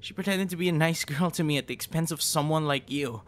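A young man performing an intimate audio roleplay as a troubled guy confiding in a close friend after a breakup, halting and stammering. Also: on the high side, natural voice. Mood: indignant, reassuring. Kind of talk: intimate ASMR boyfriend roleplay, acted monologue. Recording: noticeable background noise.

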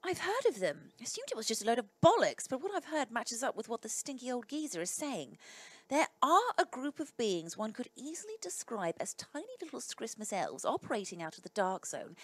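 A woman reading her part in a live radio play, pitched fairly high with a lively, swinging delivery. She sounds dry and sardonic, wearing a headset mic. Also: normal speed, slightly rough. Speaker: radio play cast member.

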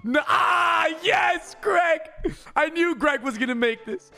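A young man reacting casually on a live stream, excitable and slangy, swearing freely, close to the mic. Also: very high-pitched, slow pace, vocal fry, raised voice, over loud music. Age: young adult. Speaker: streamer reacting to football clips. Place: small indoor streaming room. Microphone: close microphone on boom arm, with headset.

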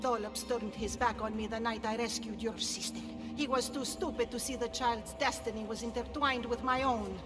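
A woman's voice: fairly high pitch, fairly even intonation, normal speed, hardly any pauses, in a large hall, music in the background, close to an everyday voice. An actress playing a sinister, fanatical older priestess in a video game, grand and theatrical, cruel yet falsely motherly. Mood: cold, wistful. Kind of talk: dramatic video game acting.

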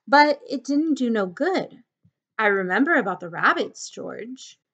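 A woman voicing a big, childlike drifter man from a novel, timid and eager to please, in simple halting rustic speech. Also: fairly high pitch, lively intonation, a bit bright, husky, highs cut off, exaggerated voice. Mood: timid, apologetic. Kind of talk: audiobook-style character reading of a novel.

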